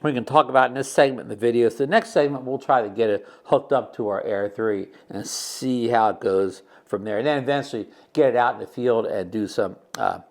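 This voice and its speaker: A man's voice: mid-range voice, fairly quick, vocal fry, hardly any pauses. A man giving a casual product demo as a video host, talking in a hesitant, repetitive, rambling way.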